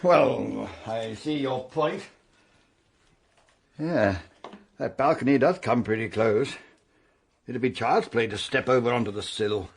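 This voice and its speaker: A man's voice: medium pitch, slow, pauses often, slight background noise. An actor playing a middle-aged, blustering and sceptical British father, master of the house in a period radio drama. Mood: pondering. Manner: gruff, dismissive, blustery.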